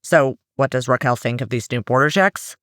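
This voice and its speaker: A man's voice: medium pitch, normal speed, fairly bright tone, no echo, studio quality. A man, the show's reporter, narrating and asking questions with enthusiasm. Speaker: reporter and host. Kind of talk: narration and interview.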